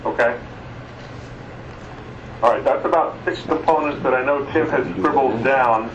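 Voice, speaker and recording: A man leading an informal meeting discussion, speaking haltingly as he thinks aloud. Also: mid-range voice, a little hiss or hum. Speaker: work group chair running a conference call. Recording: muffled, roomy, distant conference phone line.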